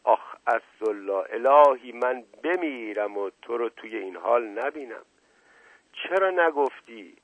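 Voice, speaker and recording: Casting: male narrator as melodramatic storybook matron, coquettish and domineering, raised husky voice, thin roomy recording. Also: medium pitch, expressive. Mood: tender, coquettish. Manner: wailing endearments, sharp retorts. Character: volatile, coquettish middle-aged wife, dramatic and domineering. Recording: roomy lo-fi radio-like narrow band.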